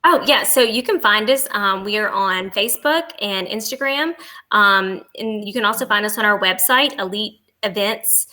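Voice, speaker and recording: A woman's voice: mid-range voice, moderate pace, pushed and bright. A woman speaking as a podcast guest and travel agent, giving agreeable, informal answers with a promotional bent.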